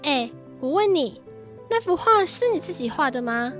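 A woman's voice: high-pitched, sing-song, normal speed, soft background music. A teenage girl acting a teasing, dramatic grown-up older sister in a radio drama. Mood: mock indignant. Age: teens.

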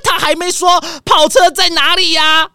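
A woman's voice: very high-pitched, level tone, moderate pace, bright, forceful tone, no echo, studio quality, full character voice. A woman with a Taiwanese Mandarin accent playing a spoiled, pompous rich man in a children's radio drama, whiny, dramatic and pleading. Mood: panicked.

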